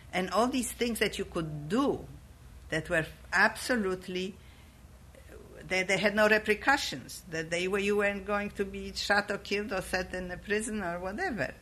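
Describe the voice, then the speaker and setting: Older woman, scratchy voice from a cold, reflective storytelling. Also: on the low side. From Poland. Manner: reflective, animated when recalling youth. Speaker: interviewee. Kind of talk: oral history recollection.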